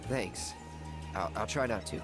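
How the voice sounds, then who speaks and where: A man's voice: on the low side, measured pace, music in the background, lightly acted. An actor playing a cocky, laconic young man in a video game, with a curt, offhand delivery. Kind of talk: scripted video game dialogue.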